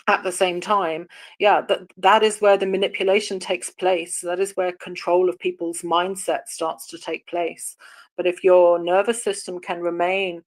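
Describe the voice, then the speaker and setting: A woman hosting an online discussion, speaking in a measured, reflective way with some halting restarts. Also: on the low side. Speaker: host of an online audio chat room. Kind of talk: moderating a discussion.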